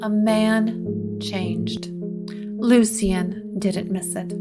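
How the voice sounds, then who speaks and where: A woman's voice: mid-range voice, steady tone, extremely slow. A woman narrator reading a scripted gothic story. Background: guitar music.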